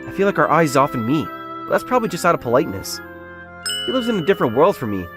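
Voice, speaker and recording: Man acting earnest, self-doubting young salesman, animated delivery. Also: fairly high pitch, fast talker, over music. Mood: confiding, wistful. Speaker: narrator and lead character of a romance comic dub. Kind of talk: first-person dramatised narration.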